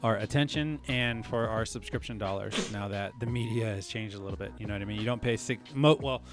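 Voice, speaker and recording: A man co-hosts a casual podcast, rambling and restarting his sentences. His voice swings up when he gets excited. Faint music sits under him. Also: fairly low pitch, brisk. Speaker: podcast co-host. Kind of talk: casual chat.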